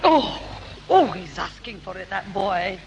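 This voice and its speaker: A woman's voice: mid-range voice, very animated, unhurried, vocal fry. A woman playing a harried, short-tempered mother with a Northern English accent in a 1960s British radio sitcom. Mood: weary. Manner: scolding, harried. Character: exasperated, short-tempered working-class mother. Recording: faintly noisy 1960s radio broadcast.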